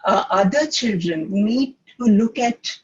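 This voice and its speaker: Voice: mid-range voice, lively intonation, measured pace, breathy edge, lo-fi sound. An adult children's author who stammers, answering at a panel with frequent repeated sounds and hesitations.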